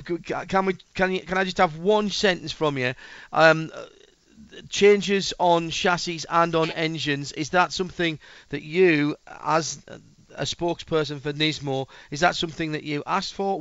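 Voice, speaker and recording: A radio presenter, brisk and upbeat, with a fairly high, smooth and resonant voice. He runs a live interview. His sound is dry and a little dull. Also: a bit bright, no echo.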